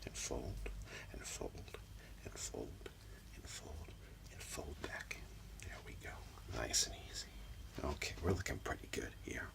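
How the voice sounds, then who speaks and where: A man speaking in soft, breathy, often whispered ASMR style, gently soothing and reassuring like a practitioner calming a client. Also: a bass voice, measured pace, hardly any pauses. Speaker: ASMR host, role-playing Reiki practitioner. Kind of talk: soft-spoken ASMR, personal-attention session. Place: small room indoors.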